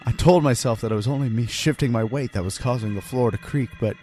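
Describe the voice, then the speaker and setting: A man's voice: mid-range voice, quick pace, darkish tone, natural voice. A man playing a haunted husband and father, narrating a first-person horror story in an ominous, earnest and theatrical manner. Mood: uneasy recollection. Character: haunted husband and father confessing a terrifying ordeal. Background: background music.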